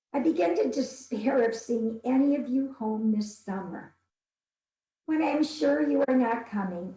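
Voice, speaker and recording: A woman reading as a 19th-century wife writing tender, anxious wartime letters, in a formal, earnest and measured way. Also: medium pitch, dark tone, lightly acted. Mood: wistful, tender. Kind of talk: dramatic reading of historical letters. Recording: echoey, roomy sound.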